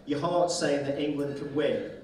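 A man's voice: a little high, moderate pace, warm tone. A man, a panel guest making jokey predictions. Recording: roomy hall, distant, crowd noise.

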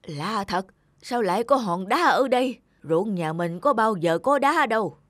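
A woman's voice: medium pitch, expressive, a little slow, loud music behind the voice, natural voice. A woman voicing an honest, simple old male farmer in a cartoon folktale, earnest and kindly. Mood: wondering, earnest. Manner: earnest, good-natured. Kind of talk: cartoon folktale character acting.

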